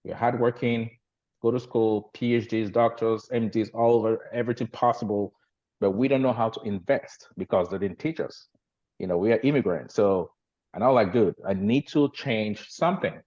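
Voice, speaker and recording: Guest speaker: very deep-voiced man in his 40s, from Cameroon, halting and filler-heavy but emphatic, muffled lo-fi call audio. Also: a little mellow. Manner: halting, many fillers and restarts, grows emphatic. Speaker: guest agency leader. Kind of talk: casual storytelling on a team call. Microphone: video call.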